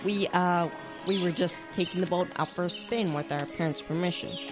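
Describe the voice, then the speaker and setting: A woman's voice: lowish voice, fairly even intonation, moderate pace, music in the background, natural voice. A young female actor playing a bossy, wary leader of adventuring kids in an audio drama. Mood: wary, evasive. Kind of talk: youth audio drama acting.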